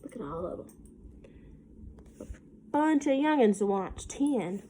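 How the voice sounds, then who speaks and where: A woman's voice: mid-range voice, expressive, slow, dark tone, slightly rough. A woman with a Southern US accent, a teacher reading a picture book aloud to children in a sing-song way with folksy, casual asides.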